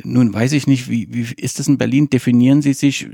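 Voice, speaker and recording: A man hosting an interview podcast, asking conversational questions and sometimes halting in mid-question. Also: medium pitch, flat delivery, gravelly, warm tone, no room sound, clean studio sound.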